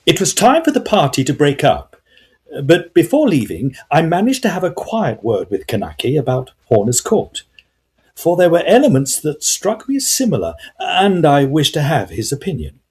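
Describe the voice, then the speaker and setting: An actor playing a courteous, inquisitive Edwardian investigator, speaking formally in a period audio drama. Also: male voice, on the high side, huge pitch swings, moderate pace, darkish tone, slightly rough, full character voice. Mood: confiding. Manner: formal, probing.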